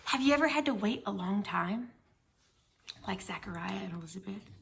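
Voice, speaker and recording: A woman's voice: mid-range voice, expressive, normal speed, creaky, a bit bright. A woman reading a Bible story aloud for young children, explaining things simply and putting questions to her young listeners.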